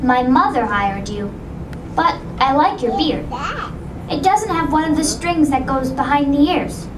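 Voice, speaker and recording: A very high, slow little-girl voice rings out in an echoey theater with music behind. She is a skeptical, matter-of-fact child in a puppet musical. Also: recorded from a distance, background noise.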